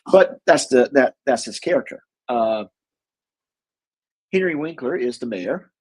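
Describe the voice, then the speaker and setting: Husky, rambling man. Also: mid-range voice, lively intonation, unhurried. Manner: rambling, many fillers and restarts, chuckles often. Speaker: co-host. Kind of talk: casual chat reviewing movies.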